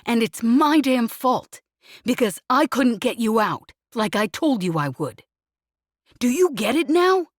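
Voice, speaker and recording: A woman reads a worn-out, guilt-ridden young man in an audiobook. He speaks flat and dull until he breaks into anger, in a slow, measured delivery recorded dry and close. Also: sits low, slightly rough, no room sound, heavily acted. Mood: furious. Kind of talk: audiobook character reading.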